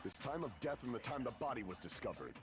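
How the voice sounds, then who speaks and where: Man acting grave, animated young anime detective type. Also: mid-range voice, fast, lightly acted. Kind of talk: dramatic anime video game voice acting. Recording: game audio under loud music.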